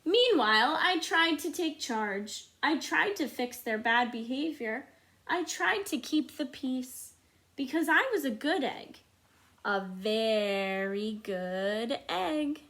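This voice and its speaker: A woman voicing a dutiful, overburdened good egg in a children's storybook, with earnest, dramatic, drawn-out emphasis. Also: sits high, lively intonation, fairly bright tone, full character voice. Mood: earnest, proud.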